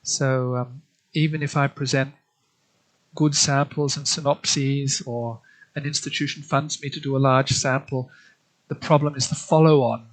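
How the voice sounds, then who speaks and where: Fifties man, Australian-born, slight German tinge, measured and hesitant interview guest. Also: mid-range voice, steady tone, low-quality recording. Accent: slight German influence on Australian English. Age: 50s. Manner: thoughtful, hesitant, many ums and restarts. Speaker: guest, literary translator. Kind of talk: interview answers.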